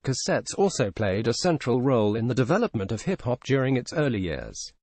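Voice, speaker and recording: A man reading a feature article aloud as scripted narration, in a measured journalistic delivery. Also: medium pitch, big pitch swings, a little mellow, no room sound.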